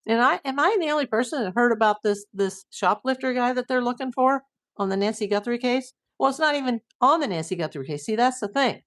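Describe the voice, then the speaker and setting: A woman's voice: fairly high pitch, lively intonation, brisk. A middle-aged woman hosting a casual livestream, chatting and reading viewer comments while losing her voice. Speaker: livestream host.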